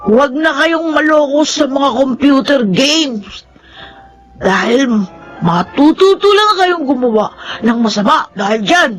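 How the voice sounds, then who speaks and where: Slow, high-pitched earnest Filipino child. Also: female voice, lively intonation, faint music behind. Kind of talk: Filipino radio drama acting.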